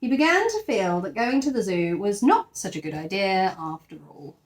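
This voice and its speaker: A woman's voice: mid-range voice, very animated, moderate pace. A woman teacher reading a storybook expressively to young children, with chatty asides to the listeners. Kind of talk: animated storybook read-aloud.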